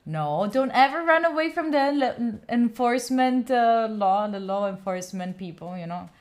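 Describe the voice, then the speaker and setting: A woman's voice: fairly high pitch, expressive, normal speed. A young woman with an Italian accent, a streamer chatting to her viewers while playing a game and cheering on her character. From Italy. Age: young adult. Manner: chatty, talks to chat, cheers on her character with sudden squeals. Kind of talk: live gameplay stream chat.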